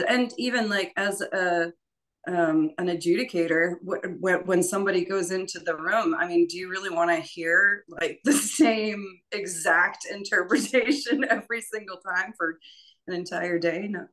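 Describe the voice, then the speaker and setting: A woman leading an informal teaching discussion, thinking aloud in a halting way with frequent chuckles. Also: lowish voice, a bit bright. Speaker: session leader and voice adjudicator.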